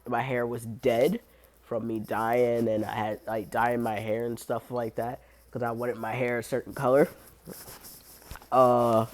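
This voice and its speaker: A young man, sick and hoarse, rambling casually in crude slang on his vlog. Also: medium pitch, darkish tone. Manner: rambling, crude slang, laughs and coughs often. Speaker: YouTube voice creator.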